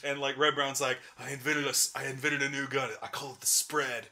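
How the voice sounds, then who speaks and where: A young man co-hosting a casual gaming podcast, talking excitably and repeating himself for emphasis. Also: medium pitch, a little fast, vocal fry. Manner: excitable, breaks into shouted mock quotes and repeats himself. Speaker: podcast co-host.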